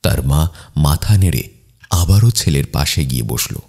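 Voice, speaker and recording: A man's voice: extremely low pitch, expressive, unhurried, warm tone, slightly rough. A man narrating a scripted children's cartoon story.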